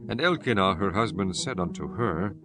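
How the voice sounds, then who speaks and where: A man narrating scripture from a script in a solemn, measured storytelling manner. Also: sits low, expressive. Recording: music bed behind the voice.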